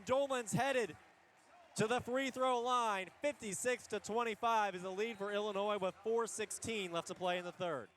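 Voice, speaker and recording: A man giving live radio play-by-play of a basketball game in an energetic, descriptive way, lifting his voice on big plays. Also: on the high side. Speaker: play-by-play radio sports announcer.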